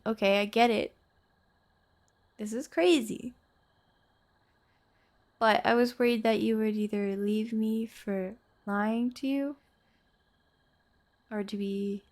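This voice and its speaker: A woman acting an affectionate, playful young girlfriend in an intimate audio roleplay, speaking softly and chattily. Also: a little high. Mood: tender, grateful. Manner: soft, tender, chatty with teasing asides.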